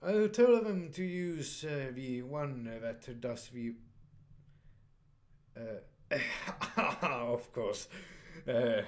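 A man's voice: mid-range voice, extremely slow. A young man casually commenting on a strategy game as he plays, hesitating often and reading the on-screen dialogue aloud. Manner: hesitant, many fillers and restarts, reads dialogue in flat voice. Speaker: let's play player.

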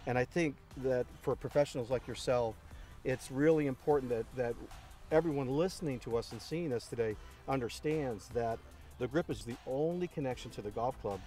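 Middle-aged man pitching on a golf course. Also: normal speed, dark tone, soft background music. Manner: salesy, repeats words while talking. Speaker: company head interviewing a golfer. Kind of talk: promotional walk-and-talk interview. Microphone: boom mic overhead.

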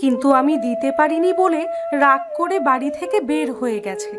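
A woman's voice: high-pitched, animated, fairly quick. A woman voicing a poor, anxious village wife and mother in a cartoon, fretful and plaintive. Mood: fretful, dejected. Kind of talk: Bengali cartoon voice acting. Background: music.

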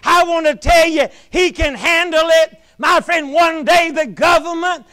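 A middle-aged man preaching a sermon from the pulpit of a small church. He swings between loud, shouted lines and quiet, hushed asides. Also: very high-pitched, a little slow. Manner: preaching in rising shouts, then dropping to a hush.

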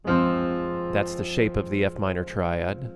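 Very low-voiced man teaching music software. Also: fairly even intonation, slow pace. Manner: plain, step-by-step explaining. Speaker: music production instructor. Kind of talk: casual screen-recorded software tutorial.

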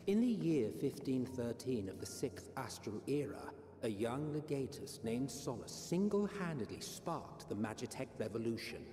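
An actor playing a grave, brooding narrator who solemnly recounts a fallen empire in a deliberate, theatrical way for a fantasy game. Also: male voice, mid-range voice, very animated, mellow, lightly acted. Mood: grave, wondering. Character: grave, somber older male narrator recalling a fallen empire. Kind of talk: solemn scripted dramatic narration. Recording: echoey hall-like reverb, audible hiss.

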